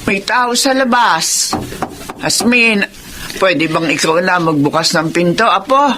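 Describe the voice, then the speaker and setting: A woman's voice: on the low side, animated, slow pace. A woman playing a caring, apologetic elderly Filipino grandmother in a family drama, with folksy, plaintive interjections. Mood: matter-of-fact. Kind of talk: dramatized radio-style life story acting. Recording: clear background noise.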